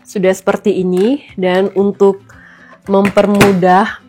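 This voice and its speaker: Woman, 30s, stuffy nasal cold voice, mellow, chatty cooking vlogger. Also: medium pitch, flat delivery, slow pace, soft background music. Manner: chatty, addresses viewers often. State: recovering from flu. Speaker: vlogger and mother. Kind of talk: casual cooking vlog.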